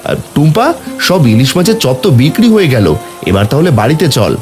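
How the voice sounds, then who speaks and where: A man's voice: on the high side, very animated, normal speed, darkish tone, soft background music. A man voicing a contented village father and fisherman in a cartoon story.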